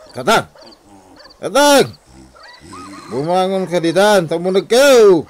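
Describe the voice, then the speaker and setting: An actor playing a loud, exasperated grown son in a radio comedy drama, muttering and calling out. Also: male voice, a little high, a little hiss or hum.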